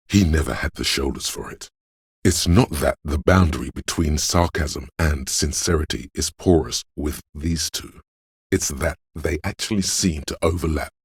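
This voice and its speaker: An actor voicing a suave, theatrical inner voice in a video game, narrating in a measured, wry way with a gravelly, husky tone. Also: male voice, very deep voice, no room sound, heavily acted. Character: suave, theatrical inner voice of a detective's flair and agility. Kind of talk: scripted second-person game narration.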